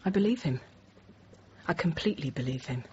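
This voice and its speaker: An actress in her thirties playing a frightened but composed French teacher held hostage, narrating quietly, often near a whisper. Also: deep voice, expressive, brisk. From France. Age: 30s. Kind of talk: first-person dramatic narration. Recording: dull noisy radio drama recording.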